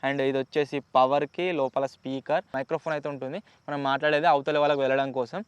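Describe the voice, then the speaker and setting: A man's voice: medium pitch, little pitch movement, quick pace, clean tone, dry recording, lo-fi sound. A man with a Telugu accent hosting a casual unboxing vlog, chatty and explanatory, mixing in English words. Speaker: YouTube host.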